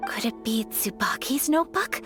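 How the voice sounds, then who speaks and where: A woman playing a refined, earnest young noblewoman in an anime fantasy game, speaking in a polite, formal, soft-spoken manner. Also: on the high side, animated, moderate pace, close to an everyday voice. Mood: hesitant, curious. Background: background music.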